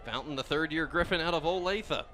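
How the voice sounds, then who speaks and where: A man's voice: fairly high pitch, a little fast. An American man doing live play-by-play commentary of a football game as a radio announcer. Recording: loud outdoor stadium noise behind voice.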